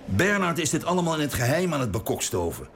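An actor playing a cynical government minister in exile, sarcastic and conspiratorial in a radio drama. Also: male voice, on the high side, very animated, fast talker, vocal fry, a bit bright, a little hiss or hum, exaggerated voice. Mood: scheming. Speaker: cabinet minister in wartime exile.

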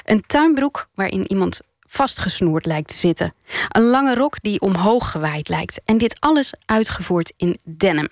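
A woman's voice: mid-range voice, lively intonation, normal speed, no room sound. A woman working as a radio host, reading introductions and putting questions to a guest in a studio interview.

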